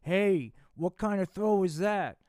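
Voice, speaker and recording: A man voicing a rude, foul-mouthed clown puppet who yells insults from a dunk tank. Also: high-pitched, slow, clear, resonant tone, no echo, clean studio sound, voice pushed up. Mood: taunting. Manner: yelling insults.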